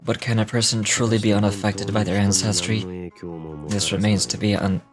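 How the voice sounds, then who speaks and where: A woman voicing a brooding, grave man from a fantasy game, with a low, grainy voice and terse, solemn delivery. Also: level tone, normal speed. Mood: solemn, reflective. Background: game music.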